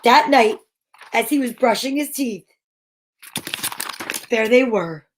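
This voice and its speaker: A woman reading a children's picture book aloud in a theatrical, suspenseful, drawn-out way. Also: fairly high pitch, slight background noise. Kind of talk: dramatic children's story read-aloud.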